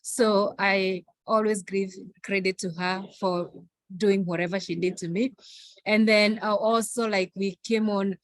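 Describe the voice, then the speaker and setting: A woman's voice: mid-range voice, fairly even intonation, unhurried, dry recording. Female presenter, hesitant, dry close mic. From Kenya. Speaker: financial professional, webinar presenter.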